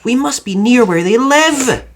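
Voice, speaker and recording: A woman voicing a watchful, excitable young girl in a children's storybook, brisk and knowing. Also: a little high, voice pushed up. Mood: excited. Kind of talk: children's storybook read-aloud.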